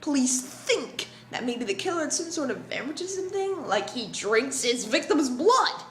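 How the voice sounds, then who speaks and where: Man doing high, perky, nervous survey girl. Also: very animated, unhurried, a bit bright, roomy sound, a little hiss or hum, heavily acted. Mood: curious. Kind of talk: comic dub acting.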